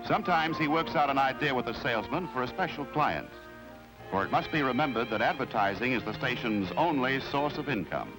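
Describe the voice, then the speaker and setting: A man with an American accent narrates a 1950s training film from a script in a formal, measured, explanatory way. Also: mid-range voice, lively intonation, poor audio. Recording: vintage 1950s film soundtrack with music.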